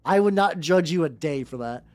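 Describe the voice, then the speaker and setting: A woman's voice: deep voice, animated, normal speed, a little mellow. A woman hosting a livestream, chatting casually and reading aloud, often stammering, repeating words and breaking off to comment. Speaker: vtuber streamer host. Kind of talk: casual livestream chat mixed with reading fan fiction aloud. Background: background music.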